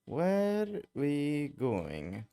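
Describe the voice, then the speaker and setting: A man's voice: on the high side, huge pitch swings, extremely slow, mellow, smooth. A man giving casual commentary as he plays a video game. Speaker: gaming streamer. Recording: game music behind the voice.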